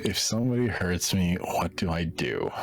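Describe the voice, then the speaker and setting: A man from Canada with a very deep voice, answering questions in a laid-back, blunt way. His answers stay short and unbothered. Plucked guitar music plays clearly behind him. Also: lively intonation, moderate pace. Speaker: guest. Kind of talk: casual streamed chat.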